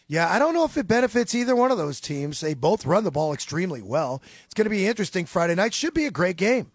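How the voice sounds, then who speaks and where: A man co-hosting a sports talk radio show, sounding nasal and stuffed up, talking sports calmly. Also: high-pitched, fast, no echo, studio quality.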